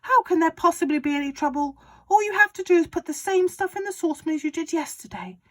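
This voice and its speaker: A woman reading aloud and voicing an excitable, bossy father who shouts his big plans. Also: fast talker. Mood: overexcited. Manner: shouting, overexcited, booming. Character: excitable bossy father, middle-aged man.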